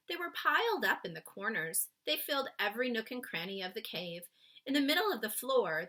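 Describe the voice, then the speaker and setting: A woman reading a children's story aloud expressively, with dramatic pauses, speaking to young listeners. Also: medium pitch, sharp tone.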